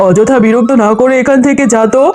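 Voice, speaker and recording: A man's voice: very high voice, fairly even intonation, fast, darkish tone. A man voicing a stingy, impatient tiger shopkeeper who scolds curtly in a children's cartoon story. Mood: irritated, dismissive. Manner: scolding, curt.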